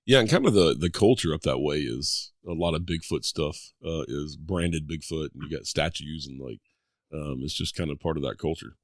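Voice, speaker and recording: Man, very low voice, dry close mic, easygoing podcast host. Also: animated, moderate pace, no echo. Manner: easygoing, hesitant with fillers. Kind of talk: casual interview chat.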